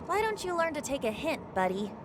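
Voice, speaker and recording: A woman's voice: high-pitched, big pitch swings, a little fast, noisy background. A woman voicing a spirited young female rebel in a video game cutscene. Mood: irritated.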